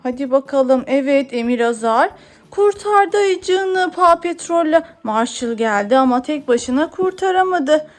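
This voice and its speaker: Woman, very high voice, mother in playful toy narration, encouraging questions, music behind. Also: animated, unhurried. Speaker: mother playing with her young son.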